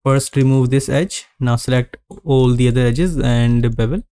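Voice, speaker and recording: A man narrating a screen-recorded 3D modeling tutorial, giving calm step-by-step instructions with frequent pauses. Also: medium pitch, clean tone, no echo, clean studio sound.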